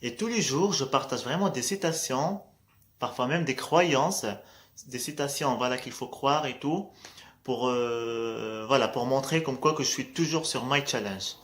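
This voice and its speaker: Un jeune homme à l'marocain, dont l'arabe est la langue maternelle, qui parle en vlog motivant et décontracté, hésite souvent et répète « voilà ». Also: male voice, mid-range voice, measured pace, fairly bright tone. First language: Arabic. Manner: hesitant, restarts often, says "voilà" a lot, laughs between points. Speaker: vlogger.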